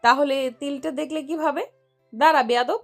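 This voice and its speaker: A woman's voice: high-pitched, fairly even intonation, normal speed, soft background music. A woman playing a stern, teasing young tutor who acts like a bossy older sister in an audio romance story. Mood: bossy, exasperated.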